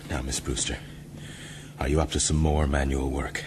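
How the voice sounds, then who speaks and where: An actor in a radio drama playing an earnest, courteous ship's mate, measured and purposeful. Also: male voice, a bass voice, flat delivery. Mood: urgent, resolute. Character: earnest, resolute ship's mate, educated man plotting escape. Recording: audio drama with clear ambient noise.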